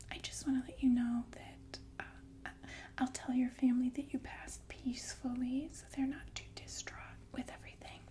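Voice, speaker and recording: Sinister ASMR nurse , acted by a woman: high-pitched, whispered, halting, soothing on the surface, casually menacing. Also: dark tone. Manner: slow, halting, casually polite about menace. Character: softly menacing killer nurse, falsely soothing. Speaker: ASMR performer. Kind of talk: whispered ASMR roleplay, addressed to listener.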